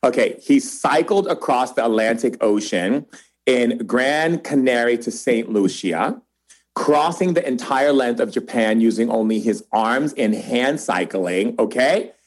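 A man hosting a podcast, talking in an effusive, emphatic way as he introduces and interviews a guest. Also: mid-range voice. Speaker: podcast host.

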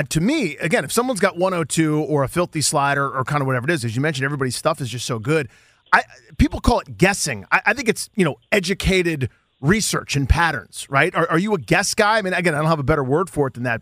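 A man hosting a sports radio show, chatting in a casual, animated interview style. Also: a little high, dry recording.

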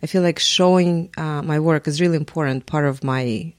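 A woman in her forties with a Russian accent, a guest on a podcast, speaking thoughtfully with frequent pauses and ums. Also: deep voice, a little mellow, dry recording, clean studio sound. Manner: thoughtful, hesitant with many ums. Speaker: guest artist and professor. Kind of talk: podcast interview.

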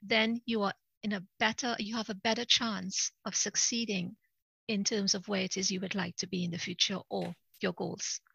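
A middle-aged woman from Trinidad speaks in a casual interview, sincere and repeating herself for emphasis. Her voice is bright and edged with fry. The recording is close and dry. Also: mid-range voice, little pitch movement, moderate pace, no room sound, highs cut off. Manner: earnest, repeats words, laughs easily. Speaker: guest coach.

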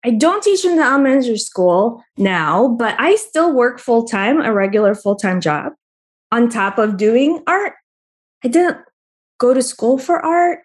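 A woman from the Philippines, a guest artist answering interview questions in a friendly way, often laughing softly mid-sentence. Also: on the high side, animated, unhurried. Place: Albany region, New York.

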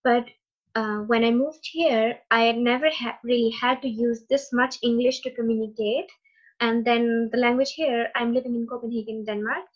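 Urdu-speaking woman, warm encouraging chat. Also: on the high side, fairly even intonation, moderate pace. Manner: encouraging, reflective, some hesitant fillers. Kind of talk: casual conversation. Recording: slightly dull lo-fi sound.

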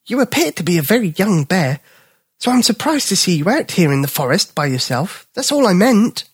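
A storyteller voicing a small old male dog who is polite, gentle and sad. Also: mid-range voice, big pitch swings, fairly quick, breathy edge, no room sound, studio quality, pitched above the performer's own voice. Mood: wistful. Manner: polite, wistful.

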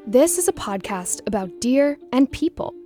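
A woman's voice: fairly high pitch, big pitch swings, mellow. Woman narrating a podcast trailer over music. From New York, United States. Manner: measured, storytelling. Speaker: podcast host. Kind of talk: scripted narration.

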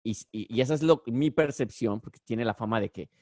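A man's voice: mid-range voice, brisk, darkish tone, no echo, clean studio sound. A man with a Mexican Spanish accent, hosting a casual podcast, joking and slangy, laughing often. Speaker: podcast host.

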